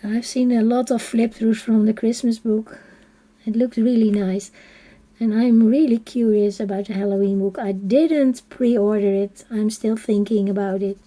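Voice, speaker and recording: A woman with a Dutch accent talks slowly and softly, her voice a little high but mellow. She chats casually as she colors, sometimes falling to a near whisper. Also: steady tone, poor audio. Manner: soft-spoken and unhurried, drifting into quiet half-whispers. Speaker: coloring channel host. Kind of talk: casual chat while coloring on a live stream.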